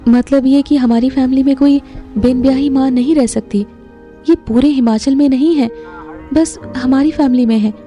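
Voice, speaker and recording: A young woman playing a sweet, trusting unwed mother in an audio drama, speaking softly and earnestly. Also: high-pitched, steady tone, moderate pace, soft background music, lightly acted. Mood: earnest, reassuring. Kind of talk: Hindi audio drama acting.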